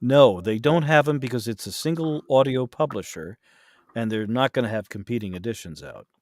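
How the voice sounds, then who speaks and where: Animated man chatting on a podcast. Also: medium pitch, moderate pace, no room sound, studio quality. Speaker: podcast guest, voice actor. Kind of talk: casual podcast conversation.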